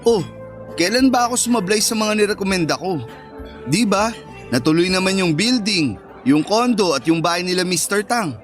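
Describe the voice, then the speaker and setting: A cocky heir of thirty wooing his girlfriend in a Filipino radio drama. His voice is on the high side, eager and coaxing, full of sweet talk. Music runs behind him. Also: lively intonation, moderate pace, a bit bright. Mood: persuasive, boastful. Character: eager, boastful thirty-year-old heir, sweet-talking suitor. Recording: background music under the voice.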